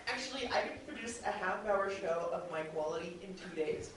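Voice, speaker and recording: A woman speaking as a podcast producer on a panel, chatting unscripted to an audience in an animated way. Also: lowish voice, fairly quick. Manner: chatty, animatedly mimics others in quoted speech. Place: convention panel room with an audience. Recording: very echoey room, distant mic, faint noise.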